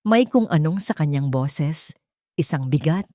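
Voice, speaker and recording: A woman's voice: low-pitched, animated, a little slow, mellow, dry recording. A woman narrator telling a scripted story in a dramatic storytelling style, recorded close.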